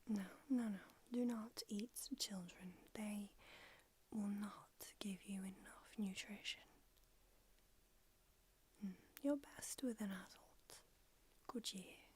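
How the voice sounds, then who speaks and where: A woman whispering in an ASMR roleplay as an ancient, aloof female vampire doctor, unhurried and drily haughty. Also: mid-range voice, mellow, a little hiss or hum, natural voice. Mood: languid haughty. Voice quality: whispered throughout. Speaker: ASMR creator.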